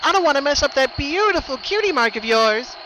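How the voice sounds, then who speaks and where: A woman's voice: high-pitched, lively intonation, a little fast, noisy background. A woman voicing a cheerful, sadistic killer pony with a happy, sing-song menace in a dramatic story reading. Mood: sweetly menacing. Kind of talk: dramatic fan fiction reading.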